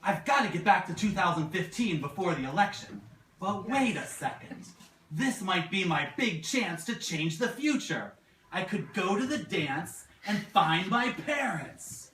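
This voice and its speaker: Woman playing an animated teenage boy puppet. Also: lowish voice, a little slow. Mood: bewildered. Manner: animated, exclamatory, repeats words. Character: earnest, exasperated teenage son, a puppet. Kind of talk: amateur comic puppet show acting. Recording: distant mic, echoey very noisy room.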